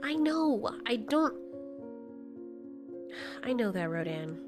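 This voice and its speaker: A woman's voice: fairly high pitch, sing-song, slow pace, over music. A woman voicing an earnest, anxious female giant moth monster who pleads and exclaims, in an audio story. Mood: troubled.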